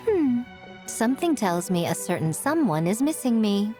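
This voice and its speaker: A woman's voice: a little high, very animated, normal speed, dark tone, soft background music. A woman voicing a sly, centuries-old trickster spirit in a video game, playful and smug in her taunts. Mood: coy. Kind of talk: video game character acting.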